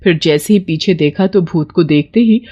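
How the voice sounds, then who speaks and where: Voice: medium pitch, moderate pace, mellow, lo-fi sound. A narrator reading a scripted story for a horror cartoon.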